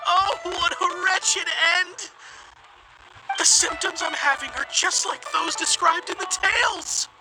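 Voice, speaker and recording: A woman's voice: extremely high pitch, takes it slowly, over music, full character voice, rough recording. A woman playing a frightened young video game character, nervous, pleading and melodramatic. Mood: dread, melodramatic. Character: frightened, melodramatic young person fearing a curse.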